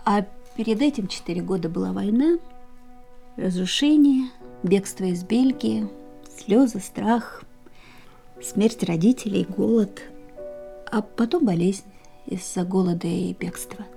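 A war-scarred twenty-four-year-old woman in a radio play. Her voice is dark and very mellow, soft and melancholy, with a world-weary wistfulness, set against music. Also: mid-range voice, measured pace, creaky, pauses often. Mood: sorrowful, bitter. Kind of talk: radio drama acting, dialogue and monologue.

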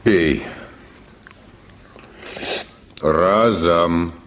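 An actor playing a stern, relentless folk healer in a Russian radio play, folksy and gruffly commanding. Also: male voice, deep voice, extremely slow, background noise. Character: stern, relentless barber-healer man forcing a cure, folksy.

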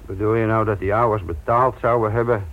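An actor playing a sardonic, gruff older police instructor in a Dutch radio play, patronising and deliberate in manner. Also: male voice, fairly low pitch, fairly even intonation, close to an everyday voice. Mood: gruff, defensive. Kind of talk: Dutch radio drama acting. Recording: thin, narrow-band old radio recording.

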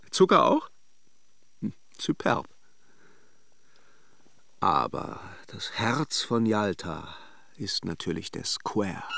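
An actor in a radio drama playing a suave, gossipy holiday idler, musing theatrically with ironic courtesy. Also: male voice, lowish voice, creaky. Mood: brisk courtesy, then dreamy musing. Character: suave, gossipy male holiday idler watching café guests.

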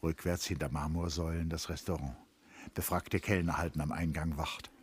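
An actor as a grave, brooding narrator of a literary radio play, telling it in the present tense. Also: male voice, very deep voice, little pitch movement, moderate pace, breathy edge. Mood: measured. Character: grave, brooding male narrator of a woman's inner turmoil.

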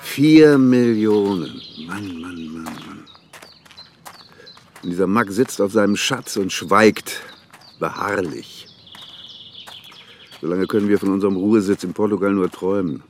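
An older actor playing an ageing, world-weary police officer in a German radio crime drama, musing colloquially and grumbling wistfully. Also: male voice, sits low, measured pace, long pauses, a little hiss or hum.

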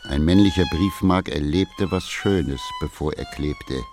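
Very deep male poem reciter. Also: over music. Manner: measured, rhyming recitation.

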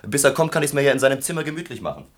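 An actor playing a brash, flippant young student in a German radio play, cheeky and mocking. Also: male voice, mid-range voice, very animated, rapid-fire, lightly acted. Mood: insolent, defiant. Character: cocky, insolent young male student in a professor's office.

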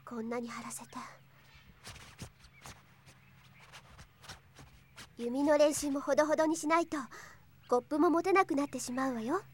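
A woman's voice: sits high, fast talker, slight background noise, close to an everyday voice. A woman playing an earnest, strong-willed young princess and pegasus knight in an anime audio drama. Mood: gentle, determined. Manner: earnest, impassioned. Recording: studio drama with music behind. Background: music.

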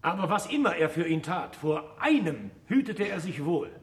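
An actor playing a famous physicist in a German radio play, wry, stubborn and didactic, with a declamatory manner. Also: male voice, on the high side, sing-song. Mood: measured storytelling. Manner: deliberate, declamatory storytelling and teaching.

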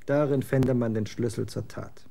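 A scornful, slangy young man of twenty, played by an actor in a German radio drama. His voice is gravelly and dark, and he talks in blunt street slang that quickly turns excited. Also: mid-range voice, lightly acted. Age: 20s. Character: young man, 20, moody rocker son, scornful.

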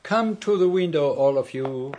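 Male actor as shrewd detective, French accent, formal, precise and deliberate, radio play, faint noise. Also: a little high, lively intonation, darkish tone, lightly acted. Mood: commanding, knowing. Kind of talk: radio drama acting.

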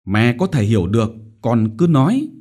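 A man voicing a doting, worried middle-aged mother who gently coaxes her daughter. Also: mid-range voice, sing-song, normal speed. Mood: coaxing worried.

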